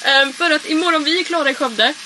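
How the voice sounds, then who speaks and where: A woman's voice: high-pitched, sharp tone. A woman, chatting casually and informally to camera as a vlogger. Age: 20s. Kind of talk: casual chatty road trip vlog. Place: in and around a camper van and car. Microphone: handheld vlog camera. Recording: clear background noise, camera audio.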